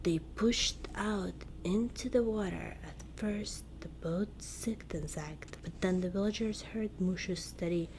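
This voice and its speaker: Slow, whispery woman reading a storybook. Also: mid-range voice, rough recording. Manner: soft-spoken, deliberate, drifting into whispers. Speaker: ASMR storyteller. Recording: clear background noise.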